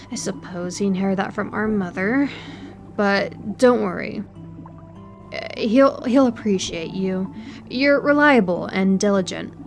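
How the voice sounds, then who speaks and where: A woman in an audio roleplay playing an educated, politely condescending aquarium worker who speaks in a measured, precise way. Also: mid-range voice, faint music behind. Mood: condescending reassurance. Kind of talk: audio roleplay acting, one-sided conversation.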